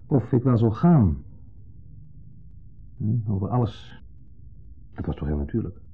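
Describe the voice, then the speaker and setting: An actor playing a wistful, brooding, middle-aged ex-husband in a radio play, speaking in wordy, hesitant, formally polite sentences with a pointed undertone. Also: male voice, fairly low pitch, very animated, unhurried, creaky, breathy edge, soft background music, exaggerated voice. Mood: pensive. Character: wistful, brooding ex-husband, a long-winded dinner guest. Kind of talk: 1970s Dutch radio play acting. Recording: muffled, phone-like 1970s radio recording.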